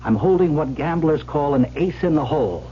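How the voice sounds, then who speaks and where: A man's voice: fairly high pitch, expressive, a little fast, dark tone, slight background noise, natural voice. An actor playing an earnest, desperate young man in a 1970s radio drama, speaking with theatrical intensity. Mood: resolute. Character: earnest, desperate young gambler heir, Barry. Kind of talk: 1970s radio drama acting, first-person narration. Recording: dull vintage radio recording, music behind.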